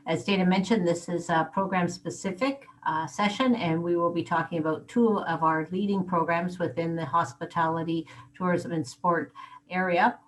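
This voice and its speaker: A woman with a slightly low-pitched voice, presenting as a program coordinator. She lays things out in an orderly, measured way, correcting herself smoothly when she slips. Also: fairly even intonation, rough recording. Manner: organized, measured. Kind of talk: presenting at online info session.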